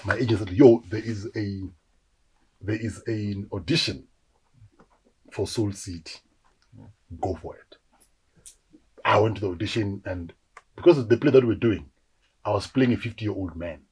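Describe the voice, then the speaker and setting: A South African man in his forties, an actor telling animated stories in a casual interview and acting out the voices of people he quotes. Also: fairly low pitch, moderate pace, long pauses, slightly rough, low-quality recording. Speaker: actor guest.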